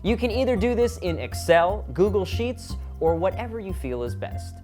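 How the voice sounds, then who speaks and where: A man's voice: high voice, sing-song, moderate pace, a little mellow, soft background music. A man hosting a comedic vlog, explaining things in a scripted, instructional way with casual joking asides. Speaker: vlog host.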